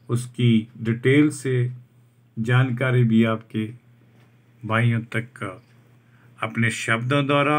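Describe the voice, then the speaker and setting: Guy going thru a job ad real slow, repeats every point, average pitch. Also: fairly bright tone. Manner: slow, deliberate, restates each point in Hindi after English terms. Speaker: job vacancy channel host. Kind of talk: reading out a job advertisement. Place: voice-over over a document.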